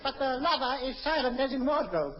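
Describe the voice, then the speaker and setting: A woman's voice: high-pitched, lively intonation, a little fast. A woman playing a cheeky, brassy lady in an old radio comedy sketch. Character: cheeky, brassy lady under police questioning. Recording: muffled old radio recording, audience noise.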